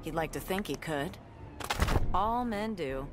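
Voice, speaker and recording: A tough female crime fighter with a fairly low voice. She is brash, blunt and combative, speaking video game dialogue over loud music. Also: lively intonation, measured pace.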